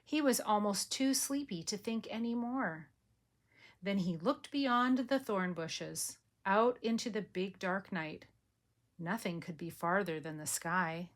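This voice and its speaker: Slow, gentle grandmother reading. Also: mid-range voice. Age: older. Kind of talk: bedtime storybook reading.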